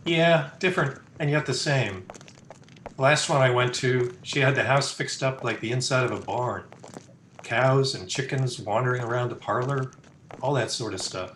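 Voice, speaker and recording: A man's voice: mid-range voice, normal speed, a bit bright, natural voice. A man playing a sardonic, wisecracking young fiancé in an old-time radio drama. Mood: sardonic griping. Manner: wisecracking, griping, slangy. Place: indoor room, recorded over a video call. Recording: roomy echo, noisy home video-call recording.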